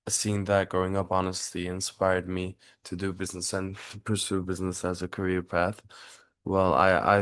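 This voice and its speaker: A young man from Turkey delivers a prepared class presentation in a very low voice that barely rises or falls. He speaks earnestly, stumbling into small restarts and fillers. The recording is dry and close. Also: clean tone, no echo. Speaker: student giving a class speech.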